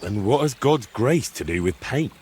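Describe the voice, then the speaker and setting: A man playing an expressive, plain-spoken medieval young man. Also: mid-range voice, moderate pace, slight background noise, close to an everyday voice. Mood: puzzled. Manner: hesitant, frank, good-natured teasing. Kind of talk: dramatic dialogue in a cinematic historical game.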